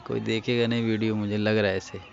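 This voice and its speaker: A man's voice: lowish voice, level tone, quick pace, creaky. An Indian man giving a casual hands-on repair tutorial, speaking informally and instructing viewers directly. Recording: dull lo-fi recording with faint noise.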